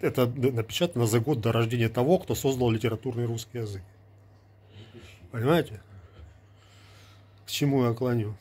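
Husky-voiced man ranting to camera. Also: mid-range voice, fairly quick, long pauses, darkish tone. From Ukraine. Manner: argumentative, sarcastic, lecturing. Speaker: vlogger. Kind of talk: casual talk to camera. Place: small indoor room with gear. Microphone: earbud mic, phone at arm's length.

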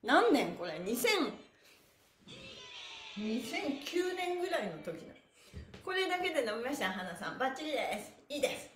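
High-voiced, animated woman leading stretches. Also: moderate pace, pauses often, slight background noise. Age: middle-aged. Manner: cheerful, exuberant, laughing often; playfully polite, adding honorifics to body parts. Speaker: stretching instructor and pantomime performer hosting a morning livestream. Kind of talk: upbeat exercise instruction with chanted counting phrases. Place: small indoor room, seated on a mat by a bare wall. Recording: echoey small bare room.

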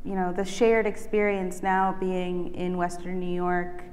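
A woman from Ecuador with a fairly low voice moderates a panel onstage. She asks thoughtful, loosely worded questions, softened with "you know" and "sort of." Her voice carries a long echo through a big auditorium, over a faint hum of the room. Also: level tone, measured pace. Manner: thoughtful, hedging questions with fillers. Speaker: curator, moderator. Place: museum auditorium stage, indoors, large. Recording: echoey auditorium with faint ambience.

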